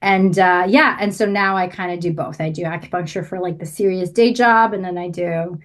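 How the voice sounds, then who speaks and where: A woman's voice: on the low side, steady tone, normal speed. A woman from Russia, a writer and guest on a podcast, answering casually and thinking aloud with fillers. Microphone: remote call.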